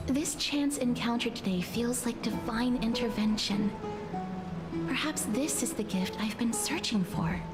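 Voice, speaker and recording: An actress playing a gentle, reserved young noblewoman who speaks formally in a video game. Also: a little high, natural voice. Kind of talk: scripted video game dialogue.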